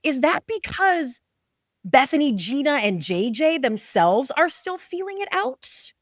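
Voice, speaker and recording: Lively higher-voiced woman hosting a podcast. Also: a little slow, dry recording, studio quality. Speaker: podcast host. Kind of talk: conversational interview, episode recap.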